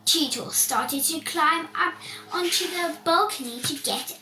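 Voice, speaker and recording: A woman reading a children's storybook aloud in an expressive way, with the occasional stumble. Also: high-pitched, unhurried, soft background music. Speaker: story reader. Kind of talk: scripted children's storybook narration. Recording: roomy, distant mic, faint noise.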